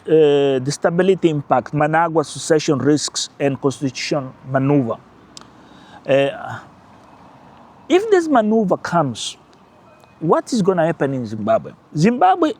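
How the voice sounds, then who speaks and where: A man with a Portuguese-speaking accent and Portuguese as his first language, hosting his own channel with emphatic, animated political commentary and brief laughs. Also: on the high side. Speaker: channel host.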